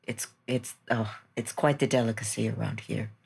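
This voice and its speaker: A man's voice: lowish voice, fairly even intonation, moderate pace, creaky, husky, exaggerated voice. A man voicing an eager, polite woman with a hesitant, delighted manner in a tabletop game. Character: eager, polite woman who loves local food.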